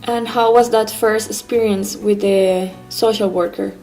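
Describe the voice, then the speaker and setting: A woman interviewing a guest, asking gentle, probing questions. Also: mid-range voice, fairly even intonation, measured pace, gravelly, soft background music, poor audio.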